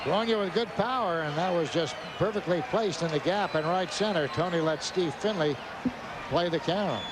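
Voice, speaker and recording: A man's voice: sits high, moderate pace, a crowd in the background. An American man giving baseball colour commentary as a broadcast co-announcer. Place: ballpark broadcast booth. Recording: very noisy ballpark broadcast.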